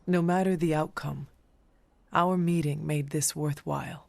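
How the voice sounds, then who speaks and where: A woman's voice: low-pitched, fairly even intonation, measured pace, vocal fry, a little mellow, no room sound. A woman playing an earnest, formal warrior from centuries ago in a video game. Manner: formal, stiff, no contractions.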